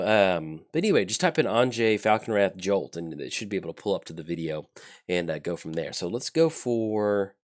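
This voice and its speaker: A man hosting casual card game commentary, thinking aloud with lots of ums and uhs while getting over a chest cold that leaves his throat slightly scratchy. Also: lowish voice. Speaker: channel host.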